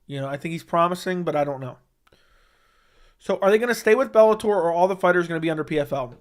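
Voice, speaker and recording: A man's voice: on the high side, fast. Blunt, opinionated man hosting a livestream. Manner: blunt, opinionated, repeats himself for emphasis. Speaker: MMA commentary livestream host. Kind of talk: casual livestream chat reading viewer questions.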